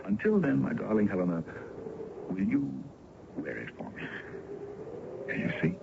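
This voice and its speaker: Casting: worldly older colonel wooing in a radio play, low gravelly voice, courtly and theatrical. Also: male voice, very animated, normal speed, exaggerated voice. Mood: teasing, courtly. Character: older colonel, ardent suitor, worldly and persuasive. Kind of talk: old-time radio drama acting. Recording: dull, noisy vintage radio recording.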